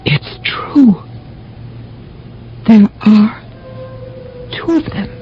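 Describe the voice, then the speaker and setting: An actress playing a grieving, imperious middle-aged mistress of the house in a radio mystery, speaking in formal, theatrical, hesitant phrases. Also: female voice, mid-range voice, very slow. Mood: hushed disbelief. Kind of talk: 1980 radio mystery drama acting, narrating and dialogue. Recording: dull old radio recording, faint music.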